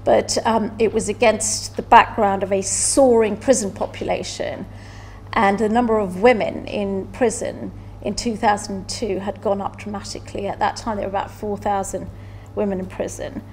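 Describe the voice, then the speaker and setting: Brief: middle-aged woman, panel speaker at a law seminar, hesitant delivery, medium pitch, some room noise. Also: fairly even intonation, poor audio. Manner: hesitant, halting, repeating words. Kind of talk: seminar talk on case law. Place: indoor seminar room, seated at table.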